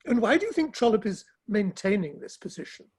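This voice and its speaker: Woman acting a husky, sensible Victorian confidante. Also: mid-range voice, sing-song, brisk, a little mellow. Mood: sensible, probing. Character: curious, sensible Victorian confidante, gently probing. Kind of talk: online dramatic play reading.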